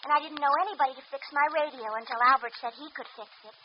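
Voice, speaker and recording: A woman playing a high-voiced naive young usher. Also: steady tone, rapid-fire, natural voice. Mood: chatty. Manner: chatty, easily offended. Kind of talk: 1940s radio acting. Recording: dull old radio broadcast with clear hiss.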